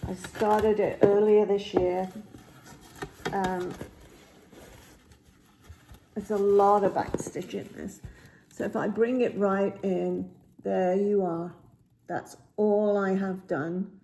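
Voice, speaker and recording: A woman with a slightly croaky voice chats casually about her cross stitch projects, rambling with many ums and restarts, filmed on a phone at home. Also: mid-range voice, fairly even intonation, slow pace, pauses often, mellow, slight background noise, poor audio. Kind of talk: casual show-and-tell chat. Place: indoors at home by a window. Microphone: phone camera.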